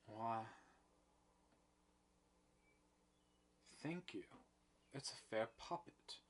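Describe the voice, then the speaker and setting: A man reading the part of a cool, reserved middle-aged farmer's wife, measured and restrained. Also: lowish voice, lively intonation, husky, heavily acted. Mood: perplexed.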